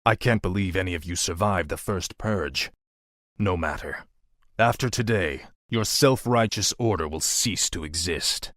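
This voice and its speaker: A man playing a cold, ruthless, imperious dark king in a fantasy game, speaking grimly. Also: sits low, a little slow, dry recording, studio quality. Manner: imperious, grim. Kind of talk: fantasy game dramatic acting.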